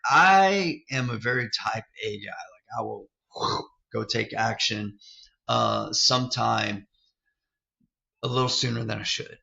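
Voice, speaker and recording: Fifty-year-old male guest, gravelly and bright, low-ish, candid storyteller, lo-fi sound. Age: 50s. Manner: loose, candid, peppers talk with "like" and "man". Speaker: real estate investor guest. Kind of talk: casual interview storytelling. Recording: dull lo-fi sound.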